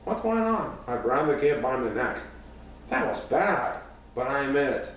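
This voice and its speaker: A man's voice: medium pitch, moderate pace. A man reading a first-person youth story aloud as scripted narration, now and then stumbling over a word. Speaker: audiobook reader. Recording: very echoey room, distant mic, faint noise.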